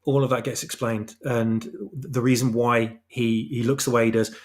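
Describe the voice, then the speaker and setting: A man with a mid-pitched voice talks as a guest author in a casual interview. He explains haltingly, then leans on repeated phrases for emphasis. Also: little pitch movement. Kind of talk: casual explanatory chat about own story.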